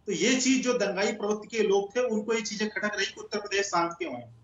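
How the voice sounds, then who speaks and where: Male panelist, high voice, assertive, echoey room on a lo-fi line. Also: fast talker. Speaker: debate panelist for the ruling party. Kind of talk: TV debate argument.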